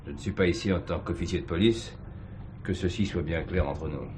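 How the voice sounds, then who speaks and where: Man acting a deep-voiced, sceptical police commissioner. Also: noisy background, lightly acted. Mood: reserved, courteous. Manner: formal, measured, probing. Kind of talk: 1970s French radio drama acting.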